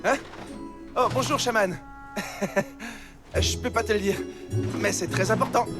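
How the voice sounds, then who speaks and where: An eager boy warrior played by a voice actor in an animated film. He is bold and excitable, stumbling over words when challenged, at a middling pitch. Loud music plays behind him. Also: slow, natural voice. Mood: flustered. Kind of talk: dubbed animated film acting.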